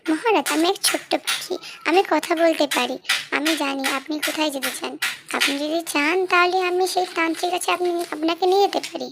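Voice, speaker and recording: A woman voicing a gentle, polite little talking bird who is a cursed princess in a fairytale cartoon. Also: very high voice, fast, creaky, very noisy. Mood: helpful. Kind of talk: cartoon voice acting.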